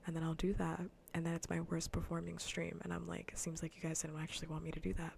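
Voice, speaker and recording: A woman livestreamer chatting casually in a soft, breathy near-whisper, rambling bluntly and sardonically with plenty of swearing in an ASMR style. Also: on the low side, monotone, fast talker, slight background noise. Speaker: livestreamer hosting an ASMR gaming stream.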